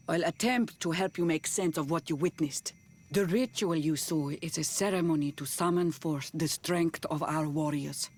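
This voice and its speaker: An actress playing a proud, solemn female clan spiritual leader in a fantasy video game, stern and measured as she explains. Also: sits low. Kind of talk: video game character acting.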